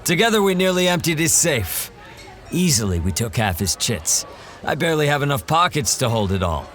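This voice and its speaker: An actor playing a wry, self-assured monster hunter in an audio drama, calm and measured. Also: male voice, on the high side. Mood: boisterous.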